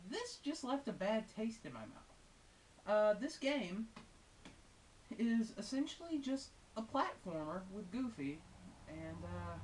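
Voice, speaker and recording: A female-sounding game reviewer giving casual, unscripted and opinionated commentary in a conversational way with wry asides. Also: mid-range voice. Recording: hissy, distant microphone.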